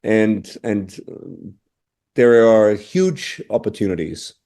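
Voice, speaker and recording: Casting: middle-aged man from austria, warm dark tone, reflective guest, lots of "you know". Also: on the low side, expressive, slow pace. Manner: thoughtful, restarts phrases, frequent "you know". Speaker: interview guest, drummer. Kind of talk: casual interview answers.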